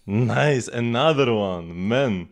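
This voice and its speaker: A man's voice: medium pitch, lively intonation, takes it slowly, slight background noise. A man chatting casually as he streams a game, rambling and repeating phrases as he goes. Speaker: live streamer. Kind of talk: casual livestream chat and gameplay commentary. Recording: music playing behind the voice.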